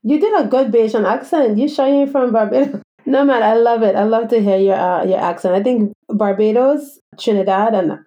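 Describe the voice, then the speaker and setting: A woman's voice: fairly high pitch, moderate pace, highs cut off. A woman from the Virgin Islands hosting a casual interview, asking questions in a playful, enthusiastic way.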